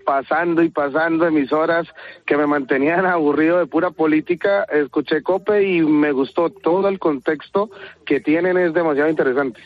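A man's voice: on the high side, moderate pace. Colombian man on a tinny phone line. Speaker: radio show caller, delivery driver and radio broadcaster. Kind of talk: phone conversation on air. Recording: tinny narrow telephone line.

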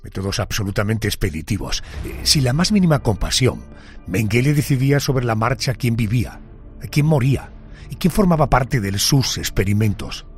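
A male host mixing measured, dramatic narration with questions to his guest. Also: medium pitch, lively intonation, faint music behind. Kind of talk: documentary narration and interview questions.

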